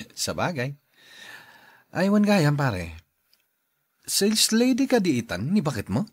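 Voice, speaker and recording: Gossipy filipino pal, highish voice, super swoopy and teasing, radio drama. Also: male voice, huge pitch swings, slow pace, slightly rough, no room sound, studio quality, exaggerated voice. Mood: teasing, amused. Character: teasing, gossipy male buddy.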